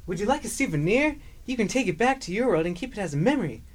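A woman's voice: medium pitch, sing-song, fast. A woman voicing a kindly, courteous male panda who guides and reassures in a children's audio story. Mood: welcoming. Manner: reassuring, polite, storybook-proper.

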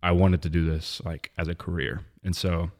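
A young man from Missouri, a guest on a faith podcast, talking in a relaxed, rambling way with lots of fillers and restarts. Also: sits low, moderate pace. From southwest Missouri. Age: young adult. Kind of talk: casual faith podcast interview.

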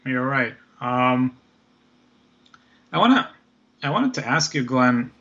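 A man's voice: medium pitch, sing-song, slow pace, fairly bright tone, dull audio. A man from Israel in a thoughtful conversation, talking like an academic and often searching for words.

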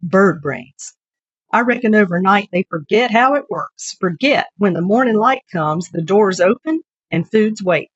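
A woman's voice: medium pitch, animated, a little slow, husky, no room sound, dull audio. A woman voicing a kindly, folksy old henkeeper with rural turns of phrase in a short story reading. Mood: amused exasperation.

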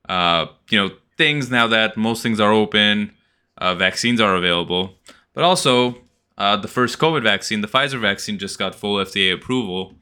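A man's voice: on the low side, bright, forceful tone, clear, resonant tone. A man co-hosting a podcast in casual conversation, with a playful, teasing way of talking. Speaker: podcast co-host.

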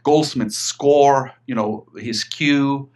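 A man's voice: mid-range voice, slow pace, a little mellow. A middle-aged man from Finland with a Finnish accent, talking eagerly as an interview guest and often repeating words as he restarts. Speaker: guest, writer and filmmaker. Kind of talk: casual interview chat.

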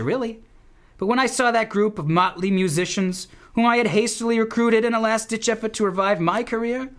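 A man playing an excitable, needy washed-up bandleader in a comic radio play, melodramatic and given to flowery exclamations. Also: very high voice.

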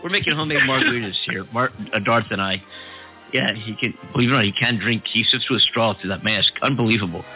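A man's voice: medium pitch, quick pace, pushed and bright, soft background music, low-quality recording. A man hosting an unscripted comedy talk radio show from a studio, joking and laughing a lot.

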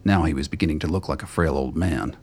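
A man narrates a radio play in a scripted storytelling style. His voice is extremely low, with a gravelly creak and a husky, rough grain. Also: fast talker, a little mellow.

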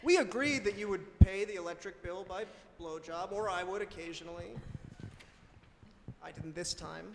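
A man's voice: high-pitched, normal speed. A man playing a prim, anxious, skeptical husband in an improvised comedy radio play, fussy, stammering and wordy. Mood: uneasy. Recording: very echoey room, clear background noise.

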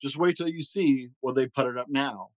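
A man's voice: mid-range voice, moderate pace, dry recording, natural voice. A man voicing a confident storybook military chief with hearty, commanding proclamations. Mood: rousing.

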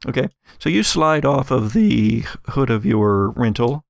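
A man's voice: medium pitch, animated, unhurried, creaky, no room sound, clean studio sound. A man working as game master, narrating an actual-play tabletop game in a conversational, improvised way.

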